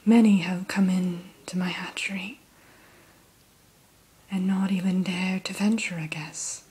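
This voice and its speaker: A woman in an ASMR roleplay who whispers gently and courteously as the keeper of a dragon hatchery. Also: fairly low pitch, steady tone, unhurried, husky.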